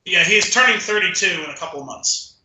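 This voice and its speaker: A man's voice: sits high, expressive, a little fast, bright, forceful tone. A man chatting casually as a podcast co-host about film, in a rambling, tentative way. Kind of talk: casual film discussion chat. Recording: roomy, echoing room sound.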